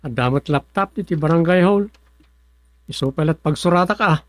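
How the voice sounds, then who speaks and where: A man playing a rural village duty man in an Ilocano radio comedy. His voice sits a little high, with an Ilocano accent and a colloquial, grumbling delivery. The sound is dry, without room echo. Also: normal speed, natural voice. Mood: bantering, insistent. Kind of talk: comedic radio drama acting.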